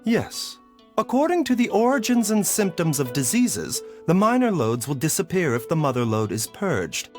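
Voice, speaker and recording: Learned doctor, lightly high-voiced. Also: male voice, very animated, darkish tone, soft background music. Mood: measured doubt. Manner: formal, measured, explanatory. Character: gentle, learned male doctor, measured and composed. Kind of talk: video game voice acting.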